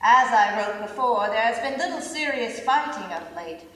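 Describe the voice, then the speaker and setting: A woman's voice: fairly high pitch, expressive, moderate pace, sharp tone. Echoey, far-off woman reading as a soldier writing home. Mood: reflective. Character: reflective young British soldier writing home to mother. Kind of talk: reader's theater storytelling. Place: large indoor theater stage. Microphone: far camera. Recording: distant, echoey theater stage, faint noise.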